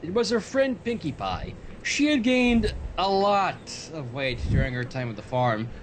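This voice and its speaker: Guy reading a story on stream, high voice that moves around a lot, some background noise. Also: big pitch swings, a little slow. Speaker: fan fiction reader on a livestream. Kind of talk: storybook narration with casual asides.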